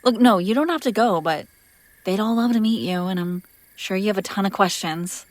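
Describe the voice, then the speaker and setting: A woman playing an affectionate, teasing and protective young vampire in a roleplay audio drama, speaking in a halting, casual, intimate way that drifts into breathy whispers. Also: mid-range voice, dry recording, lightly acted. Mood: reassuring, hesitant.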